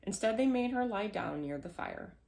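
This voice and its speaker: A woman's voice: mid-range voice, animated, normal speed, creaky, a little mellow. A woman reading a children's storybook aloud as a storyteller, now and then stumbling and repeating a word. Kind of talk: scripted children's storybook narration.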